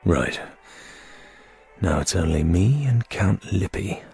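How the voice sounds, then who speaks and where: A man's voice: deep voice, expressive, slow, vocal fry, a little mellow, slightly rough, soft background music, heavily acted. A man acting in a radio drama as a calculating secret agent, musing drily to himself in inner monologue. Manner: dry, musing.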